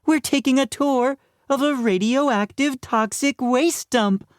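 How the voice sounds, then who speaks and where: A woman's voice: high-pitched, slow, no echo, clean studio sound, voice pushed up. A woman voicing a playful, prankish female schoolteacher making announcements to her class in a children's audiobook. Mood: cheery, teasing.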